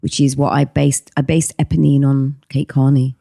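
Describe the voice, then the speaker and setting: A woman's voice: very deep voice, little pitch movement, normal speed, warm tone, no echo, clean studio sound. A middle-aged woman, chatting as a podcast guest, hesitant with many ums. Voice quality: husky voice. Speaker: podcast guest, actress and singer. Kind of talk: casual interview chat.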